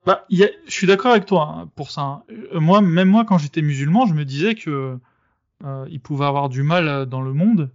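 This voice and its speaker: Hesitant man, slightly high voice. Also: fairly quick, dry recording, muffled recording. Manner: hesitant, many fillers and restarts. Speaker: podcast host. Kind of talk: casual debate chat.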